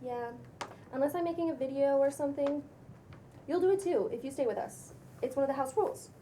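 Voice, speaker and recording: A woman's voice: high voice, a little fast, warm tone, a little hiss or hum, natural voice. A woman playing a self-assured, breezy young spiritual influencer in a table read, casual and a little preachy. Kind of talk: cold table read of a pilot script.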